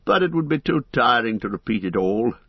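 Old hobbit poet, chatty and wry, brisk banter, read by a man; husky, dull dry audio. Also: mid-range voice, animated, no room sound.

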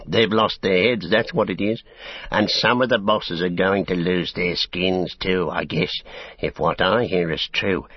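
Sneering little orc tracker, raspy. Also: male voice, sits low, measured pace, no room sound, lo-fi sound. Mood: grumbling, sneering. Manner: jeering, whining complaints. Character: small orc tracker, grumbling, sneering, insolent male.

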